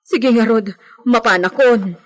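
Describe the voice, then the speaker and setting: A woman acts a village servant in a radio play. She has a fairly high voice and sounds anxious and respectful. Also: a little slow, natural voice. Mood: anxious, pleading. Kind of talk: Ilocano radio drama acting.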